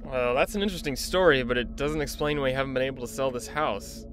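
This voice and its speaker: A man's voice: mid-range voice, quick pace, close to an everyday voice. Man as skeptical husband, faint background noise. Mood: pressing, skeptical. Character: skeptical, dry, penny-minded husband and father. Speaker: house buyer. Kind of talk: audio drama acting.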